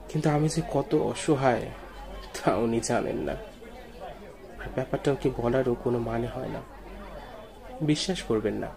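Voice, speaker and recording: A man narrating a first-person ghost story in a brooding, reflective, measured way. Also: medium pitch, vocal fry, dark tone.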